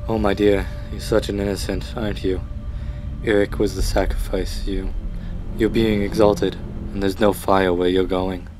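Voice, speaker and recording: An actor playing a sinister older cult doctor in an audio drama, measured, condescending and ominous. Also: male voice, low voice, level tone, noisy background, natural voice.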